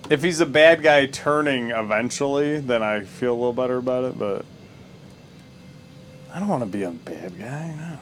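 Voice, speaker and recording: A man chatting about a game in a casual, dry-humored way, with a mid-pitched voice and a faint noise underneath. He teases his friend and reads out mission text now and then. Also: lively intonation, normal speed. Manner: dry, teasing. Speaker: co-commentator watching a game. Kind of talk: casual let's-play commentary.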